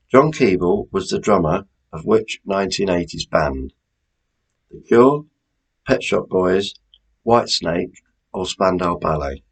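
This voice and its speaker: A man hosting a quiz, reading out questions and answers in a deliberate, measured way with informal asides. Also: sits low, slightly rough, poor audio. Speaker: quiz host.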